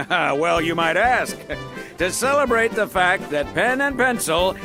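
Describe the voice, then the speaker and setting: An actor playing a jovial, oblivious, self-pleased company boss in a comedy audio drama, showy and breezy. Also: male voice, high-pitched, lively intonation, unhurried. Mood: showy, delighted. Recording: loud music behind voice. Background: oompah band playing.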